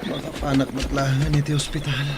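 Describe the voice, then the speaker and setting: A fearful stranded castaway, played by a man in an Ilocano radio play, with an Ilocano accent, speaking quietly and weakly. Loud wild outdoor ambience and birds fill the background. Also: mid-range voice, flat delivery, brisk, very noisy, natural voice. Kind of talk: radio drama acting.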